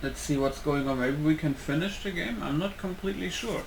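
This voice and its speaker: A man's voice: fairly high pitch, fairly quick, gravelly, noisy background. A man giving casual, offhand commentary while playing a video game. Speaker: gaming video host. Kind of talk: casual let's play commentary.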